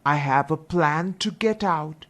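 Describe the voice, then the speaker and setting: A woman's voice: low voice, a little slow, a bit bright. A woman voicing a naughty wooden puppet boy in a storybook tale, childlike and fibbing. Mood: eager.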